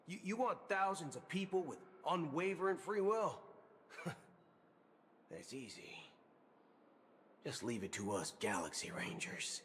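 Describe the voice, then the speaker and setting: A man's voice: a little high, very animated, takes it slowly, pauses often, fairly bright tone, slight background noise, heavily acted. A man playing a brash, swaggering galaxy ranger gunslinger in a video game, cocky and theatrical. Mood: cocky, scoffing. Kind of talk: video game character acting.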